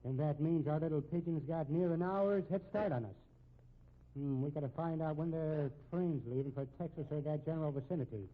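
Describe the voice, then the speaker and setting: Man acting folksy, higher-voiced old-radio policeman. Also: a little fast, close to an everyday voice. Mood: businesslike. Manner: folksy, avuncular turns of phrase. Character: kindly, folksy middle-aged policeman, soothing and dogged. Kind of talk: 1950s radio drama acting. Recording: tinny, hissy 1950s radio recording.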